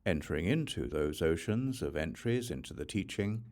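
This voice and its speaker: Low-voiced man reading scripture. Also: lively intonation, moderate pace, a little mellow. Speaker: audiobook narrator.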